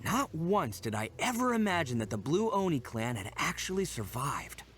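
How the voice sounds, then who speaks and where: Animated, proud young oni. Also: male voice, on the high side, moderate pace. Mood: earnest storytelling. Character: proud, earnest, brash young male oni gang leader. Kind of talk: scripted video game voice acting.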